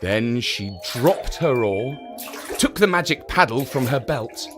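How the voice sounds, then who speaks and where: A man narrating a children's story in an expressive, theatrical storytelling manner. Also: mid-range voice, takes it slowly, background noise.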